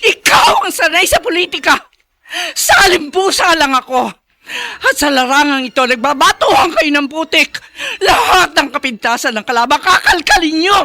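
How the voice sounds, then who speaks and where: A woman's voice: sits high, expressive, normal speed, sharp tone, full character voice. An actress playing an aggrieved, fiery woman in a Filipino radio drama, speaking in an emphatic, accusing way. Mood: angry, bitter. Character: aggrieved, fiery woman lashing out at dirty politics.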